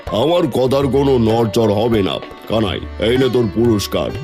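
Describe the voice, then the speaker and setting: A man's voice: medium pitch, expressive, moderate pace, close to an everyday voice. A man playing an authoritative, unyielding village judge in a cartoon, speaking formally. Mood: firm, official. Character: firm, authoritative village judge handing out verdicts. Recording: music behind the voice.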